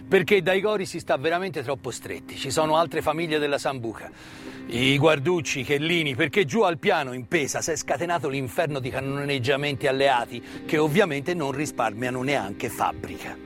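High-pitched man narrating dramatically. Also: faint music behind. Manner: measured and emphatic, with pauses and hushed phrases. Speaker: stage actor and storyteller. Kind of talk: dramatic theatrical narration of a wartime story. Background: live musical accompaniment.

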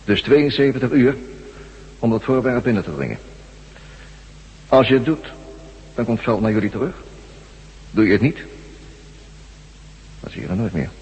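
An actor playing a menacing blackmailer in a radio drama, giving curt, commanding threats. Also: male voice, medium pitch, big pitch swings, normal speed, long pauses, darkish tone, slightly rough, exaggerated voice. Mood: cold, threatening. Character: menacing male blackmailer issuing cold ultimatums. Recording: dull, long reverb, faint hum.

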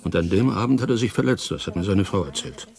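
A homely club chairman in a radio play, with a very low voice. He talks earnestly and reflectively, in a plain-spoken, puzzled way. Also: lively intonation, quick pace, creaky, a little mellow. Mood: pensive, suspicious. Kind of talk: radio drama dialogue.

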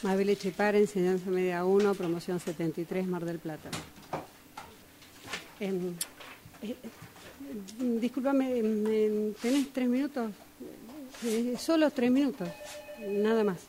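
Mellow, halting Argentine woman in her sixties. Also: mid-range voice, a little hiss or hum, low-quality recording. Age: 60s. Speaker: radio drama workshop student. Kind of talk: acted dialogue exercise.

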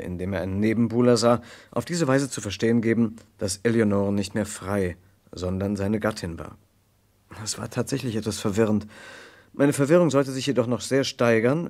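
A man's voice: on the low side, a little mellow, natural voice. A man playing an earnest, inquisitive lawyer in an old German radio crime play, with a precise, formal delivery. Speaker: narrator and lead character. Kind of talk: German radio crime play acting from the mid-20th century.

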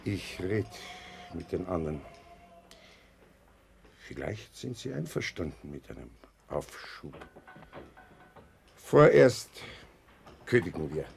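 A man's voice: low voice, sing-song, takes it slowly, creaky, pauses often, darkish tone, exaggerated voice. An actor playing a sombre, determined man in a 1960s German radio drama. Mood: grave, determined. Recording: very noisy old radio recording.

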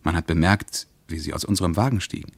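A man playing an observant traveller who recounts a journey in a radio play, measured and understated. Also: deep voice, vocal fry, no echo. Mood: sober. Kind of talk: scripted radio play narration.

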